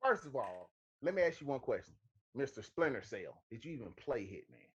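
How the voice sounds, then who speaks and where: A man's voice: medium pitch, big pitch swings, brisk, husky, muffled recording. A man co-hosting a show, bantering casually with emphatic, teasing delivery and repeated phrases. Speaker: podcast co-host.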